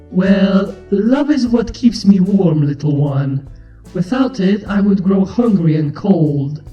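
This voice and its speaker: Woman as a mellow, motherly changeling queen. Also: fairly low pitch, roomy sound, recorded from a distance, dull audio. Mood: hesitant, tender. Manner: measured, regal, affectionate. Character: motherly changeling queen, stern but tender.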